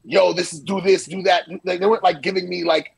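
A man in his forties joins the show by video call from a small room at home, with a raised, gravelly and somewhat husky voice. He tells stories in an animated, unfiltered way, swearing and circling back over his words. The audio is close and dry. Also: high voice, brisk, no echo. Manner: animated, hand-waving storyteller, swears freely and repeats himself. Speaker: DJ and interview guest. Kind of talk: casual podcast interview.

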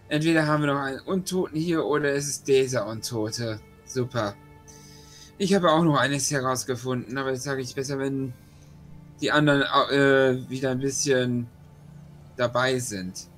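A man's voice: medium pitch, steady tone, moderate pace, fairly bright tone, faint music behind. A man chatting casually as a player in a tabletop role-playing session.

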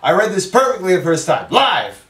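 A man's voice: sits high, huge pitch swings, moderate pace, a bit bright, far from the mic. A young man bantering jokingly during a voice recording session in a room at home. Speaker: audio drama voice actor and reader. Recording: roomy, off-mic, faint noise and clicks.

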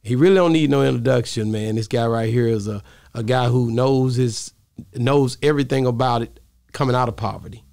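A man in his thirties hosts a podcast in a loose, rambling, laid-back way. His voice is gravelly and sounds dry and close on the mic. He fills pauses freely as he talks. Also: mid-range voice, darkish tone, dry recording. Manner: laid-back, rambling with many fillers and restarts. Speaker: podcast host. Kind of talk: casual interview chat. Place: podcast studio, indoors. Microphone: studio mic on arm, headphones.

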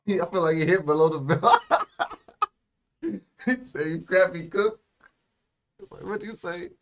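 A man's voice: high-pitched, lively intonation, a little slow, long pauses, slight background noise. A young man in casual reaction chat as a show host, talking in slang with plenty of bro and man. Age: young adult. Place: small room indoors, seated.

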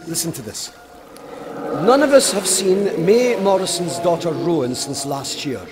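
An actor playing a stern, righteous police sergeant in an audio drama, questioning in an emphatic, accusatory way. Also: male voice, fairly high pitch, lively intonation, unhurried, noisy background. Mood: insistent questioning. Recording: loud music under the voice.

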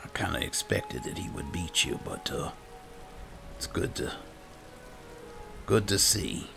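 A man with a halting, low-key, informal way of talking, improvising in character as he hosts a roleplay gameplay session. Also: huge pitch swings, creaky, music in the background. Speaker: gaming host, roleplaying as a guild leader.

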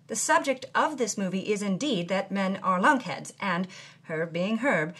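A woman's voice: mid-range voice, fairly bright tone, husky, full character voice. A woman playing a brash, wronged B-movie starlet from the 1950s, telling her memoir in wry, theatrical first-person narration for an audio drama. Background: music.

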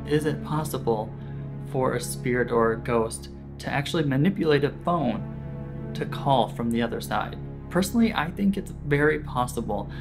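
A man in his thirties with an American accent, telling stories casually to camera as a vlog host in a conversational, informal way. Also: mid-range voice. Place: small indoor room set. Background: background guitar music.

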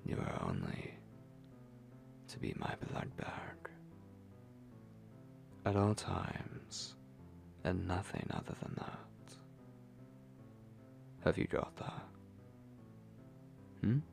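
A man playing a possessive, hungry vampire lord in an intimate ASMR roleplay, breathy and commanding, speaking slowly with menace. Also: sits low, huge pitch swings, a little mellow, exaggerated voice. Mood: menacing, commanding. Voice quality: breathy, whispery, gravelly. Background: music.

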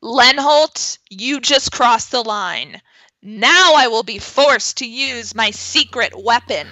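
Woman acting a slow-talking, dramatic heroine. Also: a little high, bright, forceful tone. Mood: defiant. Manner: deliberate, dramatic. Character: determined, dramatic zombie-fighting heroine. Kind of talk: comic horror audio drama acting.

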